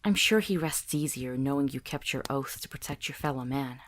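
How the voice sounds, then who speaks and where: A woman playing a kind, tactful traveling companion in a visual novel, gentle and earnest. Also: a bass voice, fairly quick, a little hiss or hum. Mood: sympathetic.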